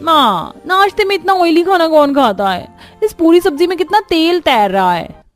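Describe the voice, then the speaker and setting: A woman's voice: very high voice, sing-song, normal speed, soft background music, voice pushed up. A woman voicing an exasperated young daughter-in-law in a cartoon, complaining dramatically. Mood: whiny.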